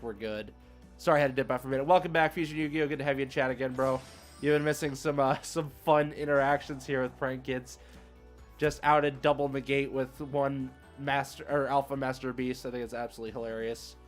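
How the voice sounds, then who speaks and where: A man streaming an online card game, thinking aloud in casual, chatty play-by-play with slangy asides. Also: mid-range voice. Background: music playing behind the voice.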